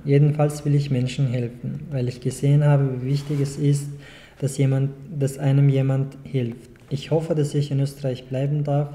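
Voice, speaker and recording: A man in his twenties from Afghanistan, a native Dari speaker, reading his own text aloud in a calm, measured way at a panel table in a small club room. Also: mid-range voice. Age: 20s. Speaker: guest author. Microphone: table mic on a short stand.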